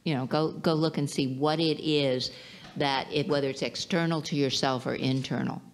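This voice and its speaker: A woman's voice: sits low, steady tone, normal speed, slight background noise. A woman entrepreneur answering on a stage panel in a large auditorium, talking with many restarts and fillers.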